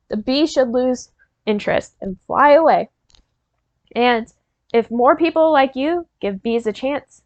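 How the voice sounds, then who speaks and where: A woman reading a picture book aloud as a teacher, pausing to prompt and encourage young listeners in an instructional way. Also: fairly high pitch, dry recording, lo-fi sound. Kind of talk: interactive read-aloud, storytelling with questions to young listeners.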